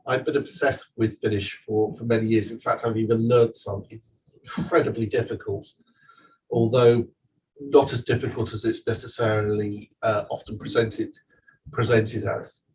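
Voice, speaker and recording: A man's voice: fairly low pitch, fairly even intonation, normal speed, a little mellow, a little hiss or hum. Distant-miked man chatting about his book. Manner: chatty, digressive, many restarts and fillers. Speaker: author giving a talk. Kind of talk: live talk and audience Q&A. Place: event venue with audience.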